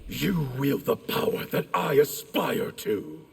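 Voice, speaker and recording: A man playing a cold, ambitious ninja traitor in a video game, haughty and clipped, with a very gravelly voice. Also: fairly high pitch, slow, full character voice. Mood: cold, arrogant. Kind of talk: dramatic video game acting. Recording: clear background noise.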